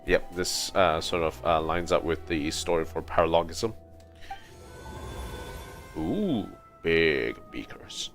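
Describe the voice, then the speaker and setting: Low, slow-talking male game streamer. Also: animated. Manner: halting, musing aloud. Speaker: game livestreamer. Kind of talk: casual livestream commentary. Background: faint game music.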